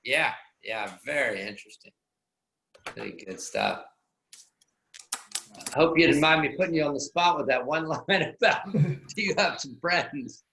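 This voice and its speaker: A man's voice: a little high, expressive, unhurried, gravelly, long pauses, pushed and bright. A man hosting a video call, chatty and reflective as he wraps up. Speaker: host. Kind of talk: casual video call chat. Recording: echoey room on a video call.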